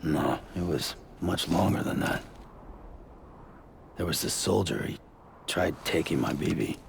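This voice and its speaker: Tired gruff game courier, real low and super gravelly, mumbles short lines. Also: male voice, a little slow, slight background noise, full character voice. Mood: shaken, insistent. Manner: terse, muttering, subdued. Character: weary, taciturn, gruff male courier, middle-aged. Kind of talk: naturalistic video game acting.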